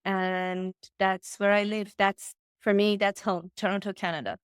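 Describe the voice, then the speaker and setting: A woman's voice: medium pitch, flat delivery, a little slow, clear, resonant tone, dry recording, clean studio sound. A woman from Iran, speaking English with slightly phrasing, talking earnestly and enthusiastically as a podcast guest and coach. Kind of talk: casual podcast interview.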